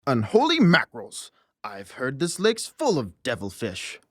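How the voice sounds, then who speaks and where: A woman's voice: very deep voice, wildly swooping pitch, unhurried, breathy edge, dry recording, clean studio sound, voice pushed down, exaggerated voice. A woman voicing a mocking, vengeful male troublemaker in a theatrical audiobook performance. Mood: playful mockery. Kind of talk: audiobook character acting.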